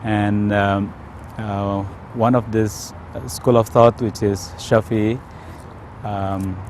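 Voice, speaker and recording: A man from Indonesia with an Indonesian accent, telling his personal story in a hesitant, careful way with frequent pauses. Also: lowish voice, little pitch movement, darkish tone, slight background noise. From Indonesia, South Sumatra. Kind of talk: unscripted personal testimony.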